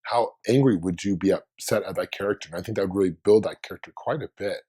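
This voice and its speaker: A man hosts his own fan video while under the weather, his voice hoarse and husky and pitched very low. He rambles casually through his thoughts, often restarting sentences mid-way. The delivery is loose and conversational. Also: fast talker, mellow. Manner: rambling, thinking aloud with many restarts.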